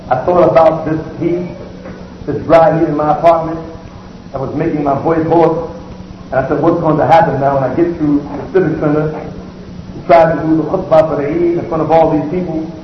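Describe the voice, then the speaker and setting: Male imam, sermon delivery, steady and repetitive, recorded from a big reverberant civic hall with a dull sound. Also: fairly high pitch. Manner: measured preaching with repeated phrases. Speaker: imam giving the Eid sermon. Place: large civic center hall. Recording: echoey hall, muffled, faint hiss.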